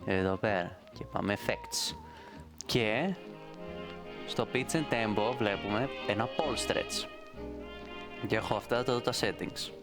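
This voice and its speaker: A man's voice: lowish voice, sing-song, pauses often, over music. A man giving a casual, rambling, playful screen-recorded tutorial.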